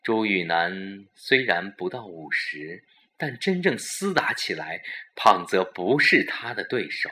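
A man's voice: sits low, big pitch swings, measured pace, bright, forceful tone. A man narrating a suspense audio story in a dramatic storytelling style.

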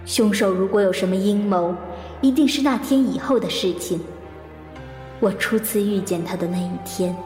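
An actress plays a woman investigator in a reverberant radio drama, with music underneath. She is composed and probing, and her delivery is measured and introspective, in a mid-pitched voice. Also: lightly acted. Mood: brooding. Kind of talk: audio drama narration and dialogue. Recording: echoey long reverb, music behind.

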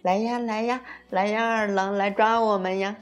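A woman's voice: medium pitch, fairly even intonation, normal speed, voice pushed up, lightly acted. A woman voicing mischievous, playful young suns in a children's storybook, with teasing, sing-song calls. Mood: teasing, gleeful. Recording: muffled lo-fi recording with music.

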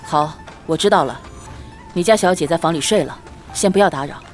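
Casting: young husband for costume radio play, female actor, lowish voice, courteous, calm and tender. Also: fairly quick, faint music behind, natural voice. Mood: calm, dutiful. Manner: composed, polite. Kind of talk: Chinese costume audio drama acting.

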